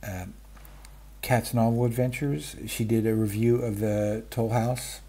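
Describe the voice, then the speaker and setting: A man in his fifties or older chatting casually about stories, halting and interrupting himself in a self-deprecating way, with a husky voice. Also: medium pitch, fairly even intonation, dark tone. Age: 50s or older. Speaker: book vlogger. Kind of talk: casual rambling chat about stories and radio. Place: small room at home, indoors. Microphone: webcam framing, wearing wired earphones.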